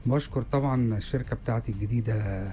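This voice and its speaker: A man's voice: medium pitch, very fast, mellow. An Egyptian man, a singer guest on the radio, with a tired voice, modest and thankful. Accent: Egyptian Arabic. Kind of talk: radio interview.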